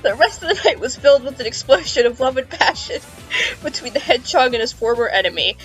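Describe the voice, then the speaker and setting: Female comedy narrator, fairly high voice, playful dramatic reading, casual delivery over background music. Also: expressive, brisk, fairly bright tone, rough recording. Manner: amused, playful, giggly. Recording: music behind the voice.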